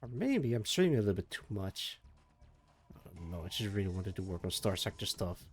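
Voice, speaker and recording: Sleepy low-voiced man streaming. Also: fairly quick. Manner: soft, meandering, mumbles to himself, trails off. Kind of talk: casual live-stream chat while working. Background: faint energetic soundtrack music.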